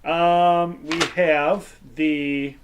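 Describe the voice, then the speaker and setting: A man's voice: a little high, little pitch movement, very slow, lo-fi sound, background noise. A middle-aged man hosting a casual sports preview show, rambling and hesitant, with a lot of fillers. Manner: rambling, hesitant, breaks into goofy sung tunes.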